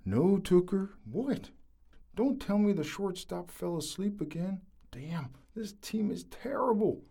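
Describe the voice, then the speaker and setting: A man playing a gruff, folksy, skeptical teammate who drawls, in an audio drama. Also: sits high, expressive, measured pace, dark tone, exaggerated voice. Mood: dismissive.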